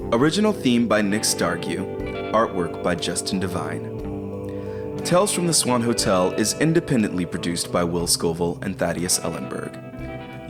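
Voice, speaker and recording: Low-voiced guy narrating a radio-style drama, slow and dramatic, guitar music behind. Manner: measured, dramatic storyteller delivery. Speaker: narrator and show host.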